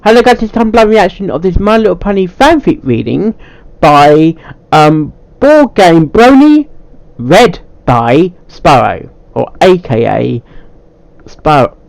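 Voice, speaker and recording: A man with a slightly high voice talks casually as host of a reaction video. His pitch jumps around in a very lively way. He speaks without a script, stumbling over words and going back to repeat phrases. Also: takes it slowly, vocal fry, no echo. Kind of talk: casual unscripted reaction commentary.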